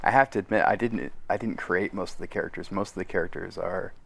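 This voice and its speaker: A man's voice: deep voice, fast, vocal fry, a bit bright, husky. A man speaking casually as an interview guest, thinking aloud and correcting himself as he goes. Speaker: podcast guest, audio drama co-creator.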